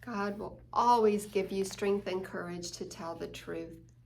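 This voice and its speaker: A woman reading a picture book aloud to children as a story time host, expressively and deliberately, explaining things simply. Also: medium pitch, gravelly, a little hiss or hum. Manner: slow, deliberate, acting out quoted lines. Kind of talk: expressive children's read-aloud with asides to listeners.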